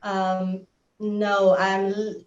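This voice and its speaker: A woman's voice: mid-range voice, monotone, drawn-out words. A woman from Vietnam, a participant asking a question on a video call, speaking hesitantly with many fillers. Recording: echoey, dull video call audio.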